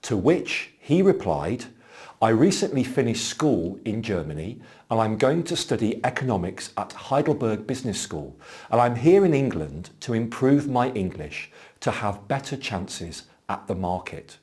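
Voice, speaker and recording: A man giving clear, explanatory scripted narration as an exam commentator. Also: on the low side, big pitch swings, measured pace, a little mellow.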